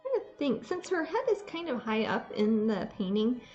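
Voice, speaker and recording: A woman's voice: fairly high pitch, big pitch swings, normal speed, a little mellow, muffled recording, soft background music. A woman painting on a live stream, chatting and explaining her work as she goes. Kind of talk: casual chat while painting.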